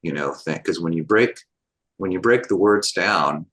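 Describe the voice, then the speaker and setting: Man, very deep low voice, husky, rambling, casual group chat, lots of asides. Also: lively intonation, moderate pace, fairly bright tone. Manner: rambling, many fillers and asides. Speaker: group call participant.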